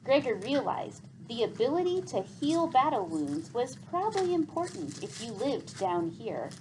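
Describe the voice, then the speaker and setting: A woman teacher reads aloud with careful, expressive diction. Her voice sits fairly high and moves animatedly up and down at a leisurely pace. Some background noise sits behind her. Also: moderate pace. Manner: expressive, clearly enunciated teacherly delivery. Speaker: teacher reading aloud to pupils. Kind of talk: read-aloud storytelling with questions to pupils.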